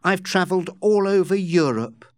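A flirtatious woman of storybook fiction , acted out by a man, speaks in a high, swooping voice. She is theatrical and coy, drawing out her words to win pity. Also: expressive, moderate pace, smooth, dry recording. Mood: sorrowful. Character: flirtatious, self-pitying adventuress Becky, a grown woman.

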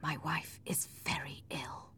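A woman voicing an anxious, grieving gentleman husband in an audiobook, speaking earnestly and sorrowfully. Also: a little high, sing-song, slow pace, pitched above the performer's own voice, exaggerated voice. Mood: quiet, worried. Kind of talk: audiobook character acting.

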